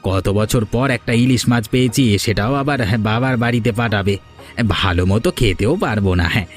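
A man's voice: lowish voice, fairly quick, soft background music, lightly acted. A man voicing a grumbling, selfish, defensive village husband in a cartoon. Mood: grumbling, irritated. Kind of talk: Bengali cartoon dialogue.

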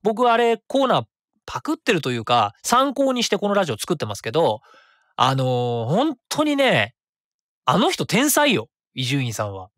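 A man's voice: a little high, huge pitch swings, moderate pace, a bit bright, no room sound, studio quality. A man hosting a solo radio show in casual talk, chatty and rambling, thinking aloud and correcting himself as he goes. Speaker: radio host. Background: background music.

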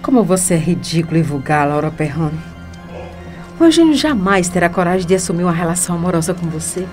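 An actress playing a righteous, defiant young woman in a Brazilian radio soap opera, measured and pointed. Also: fairly low pitch, animated, music in the background, lightly acted. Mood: scornful.

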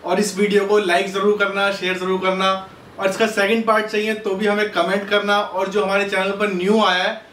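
A man's voice: sits high, level tone, a little fast, a bit bright, distant mic. A man, speaking as a channel host thanking his subscribers. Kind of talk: thank-you message to subscribers. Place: indoor room in front of curtains. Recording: echoey room, off-mic, light noise.

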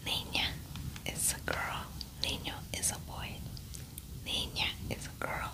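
A woman with a Spanish-speaking accent, rolling her r's, whispers slowly through a Spanish vocabulary lesson in an ASMR style, repeating each word with care. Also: rough recording. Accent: Spanish-speaking, rolls her r's. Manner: slow, soft whispering with careful repetition. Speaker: Spanish teacher.

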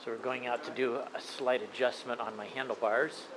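A man's voice: medium pitch, steady tone, moderate pace. A man chatting casually as he vlogs in a hotel parking lot, narrating to his camera. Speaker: motovlogger. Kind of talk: casual vlog chat. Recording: outdoor noise on camera audio.

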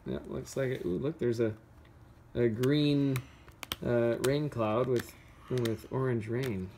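Low-pitched woman reading to kids. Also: fairly even intonation, takes it slowly, dark tone, a little hiss or hum. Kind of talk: casual storybook read-aloud with questions. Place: carpeted room at home.

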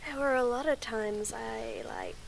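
A woman's voice: on the high side, huge pitch swings, vocal fry, slight background noise, full character voice. A woman voicing a shy stammering tomboy friend. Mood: relieved. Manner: stammering, halting, soft. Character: shy tomboy female friend, young adult, nervous and sweet. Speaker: voice actress. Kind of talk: scripted audio roleplay.

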